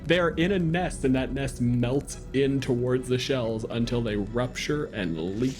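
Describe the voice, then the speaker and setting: A man's voice: mid-range voice, measured pace, a little mellow. Guy game master telling the scene off the cuff, sweary, music playing under him. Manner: casual, sweary. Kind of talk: improvised tabletop game narration. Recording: music behind the voice.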